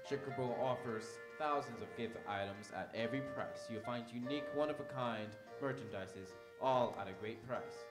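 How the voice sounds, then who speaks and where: Male live-show announcer, mid-pitched scripted sponsor read, roomy stage sound with music underneath. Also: moderate pace, hardly any pauses. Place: auditorium stage, live radio theatre.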